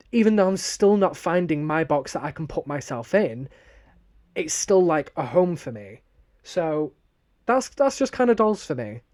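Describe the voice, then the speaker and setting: A young man with a Sheffield accent, co-hosting a podcast and telling stories in a lively, sweary way. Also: on the high side, no echo, studio quality. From northern England. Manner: animated, sweary storytelling. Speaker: podcast co-host. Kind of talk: casual chat.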